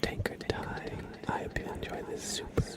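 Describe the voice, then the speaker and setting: A man hosting an ASMR livestream, speaking in a breathy whisper and soft murmur, soothingly repeating words to viewers at a close microphone. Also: moderate pace. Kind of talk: ASMR, slow whispered roleplay and chat to viewers.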